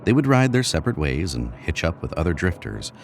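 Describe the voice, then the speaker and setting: A man's voice: low-pitched, big pitch swings, brisk, creaky, a little hiss or hum. A man narrating a scripted Western story.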